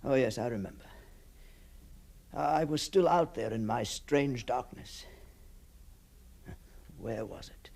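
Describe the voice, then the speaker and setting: An actor playing a haunted, shaken gentleman in a literary radio drama, halting, intense and theatrical. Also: mid-range voice, gravelly. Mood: dazed, searching.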